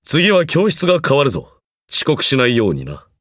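A man's voice: medium pitch, huge pitch swings, moderate pace, a bit bright, dry recording. Game role: stern male magecraft lecturer, formal, condescending, grudgingly encouraging, very varied intonation, dry close studio sound. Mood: measured approval. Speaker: voice actor in a mobile game. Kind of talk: scripted game character lines.

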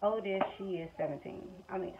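A woman in her twenties with an American accent, chatting casually and frankly off the cuff in colloquial speech. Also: medium pitch. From Missouri, United States. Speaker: vlogger, stay-at-home mother. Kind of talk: casual off-the-cuff chat to camera. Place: small room at home, indoors. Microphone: phone at arm's length or propped nearby. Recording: dull lo-fi phone audio, faint background noise. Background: household background noise.

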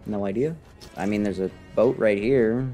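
A young man streaming a video game from a close desk mic, giving casual, sarcastic, indifferent commentary in a deadpan way. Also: on the low side, normal speed. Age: young adult. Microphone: desk microphone close to the face. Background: game music.

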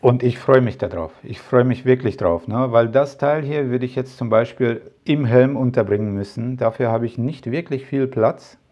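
A man's voice: medium pitch, moderate pace, hardly any pauses, muffled recording. A man talking casually to camera as a tech vlogger, rambling with many fillers and asides. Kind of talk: casual product talk to camera.

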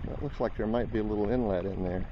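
A man in a small boat on a lake, giving casual running commentary on his fishing with some mild swearing, recorded outdoors on a camera boom. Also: quick pace. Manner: chatty, talks to himself, mild swearing. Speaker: fishing vlogger. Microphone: action camera on a boom. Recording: dull lo-fi camera audio with loud wind and water. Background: wind and lapping water.

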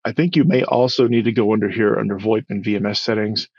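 A man instructing in a casual screen-share tutorial, explaining step by step in a conversational way with a gravelly, husky voice. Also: fairly low pitch, steady tone, fast, darkish tone, no echo. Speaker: software instructor. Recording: dull, slightly lo-fi voice-over.

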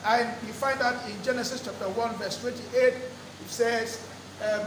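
A middle-aged man preaching in a very high voice, stretching out key words and repeating phrases for emphasis. His voice reaches the mic from a distance and rings around a roomy church hall. A steady background noise sits under it. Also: a little slow. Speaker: pastor. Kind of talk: sermon. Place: church hall with draped walls. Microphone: lectern microphone.

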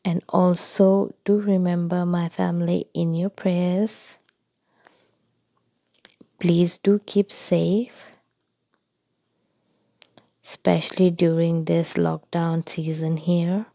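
A woman from India casually narrating a drive in a car, speaking slowly and softly with many pauses and fillers. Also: fairly low pitch, level tone, vocal fry, dry recording, clean studio sound. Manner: slow, soft-spoken, many ums and uhs. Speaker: vlogger. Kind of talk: casual narration over driving footage. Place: inside a car.

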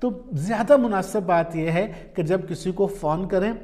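A man's voice: high-pitched, moderate pace, a little mellow. A man in his forties with a Pakistani accent gives informal advice to camera in a conversational, explanatory way, mixing in English words. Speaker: vlogger, journalist and columnist. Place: small quiet room indoors, at a desk.

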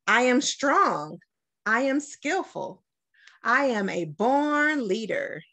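Middle-aged woman, American accent, lively intonation, unhurried, expressive storytime delivery. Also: fairly high pitch. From Detroit, United States. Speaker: school principal reading aloud to children. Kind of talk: storytime picture-book read-aloud. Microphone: webcam at about arm's length.

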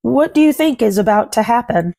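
A woman in her thirties reading a picture book aloud to children, commenting and putting questions to young listeners in simple, deliberate phrases. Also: a little high, animated, vocal fry, dark tone. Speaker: children's read-aloud host. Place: small furnished room at home.

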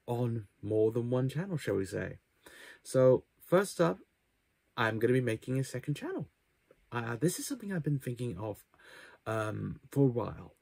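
A man's voice: lowish voice, creaky, a little mellow, no room sound. A man in his twenties giving a casual channel update to camera, with hesitant, self-deprecating asides. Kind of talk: casual vlog talk to camera. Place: small cluttered bedroom, indoors.

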